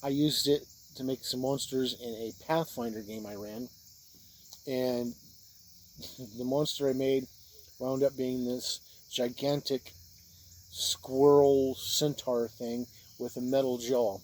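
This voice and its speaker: A man's voice: medium pitch, little pitch movement, slow pace, a little mellow. Plain-spoken man reviewing, noisy background. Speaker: reviewer of tabletop role-playing books, game master. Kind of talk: informal spoken product review.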